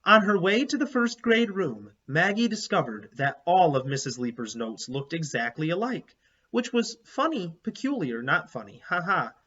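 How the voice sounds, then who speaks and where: A man's voice: on the high side, sing-song, moderate pace, sharp tone. A man reading a children's book aloud like a teacher, expressively and with explanatory asides. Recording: slightly dull, lo-fi sound.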